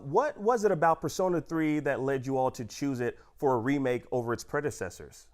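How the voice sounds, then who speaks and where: A man's voice: mid-range voice, fairly quick. A man presenting a scripted interview, introducing and questioning guests as a host.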